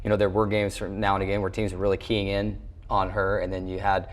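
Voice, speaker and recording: A man's voice: sits low, completely flat delivery, fast talker. An American man hosting a college sports interview show, recapping a season and putting questions to his guest. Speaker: sports show host and play-by-play broadcaster.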